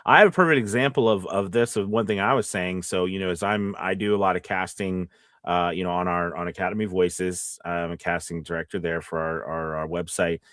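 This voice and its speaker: A man's voice: sits low, steady tone, a little fast, fairly bright tone, dry recording, studio quality. A man co-hosting a casual live stream about voiceover work, rambling with false starts as he answers questions. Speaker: co-host, voiceover casting director and coach.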